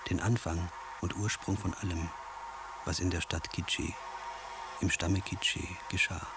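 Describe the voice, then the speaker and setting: An actor playing a solemn chronicler who recites an ancient creation myth in hushed, deliberate phrases for a radio sound composition. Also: male voice, deep voice, flat delivery, background noise. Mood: solemn, reverent.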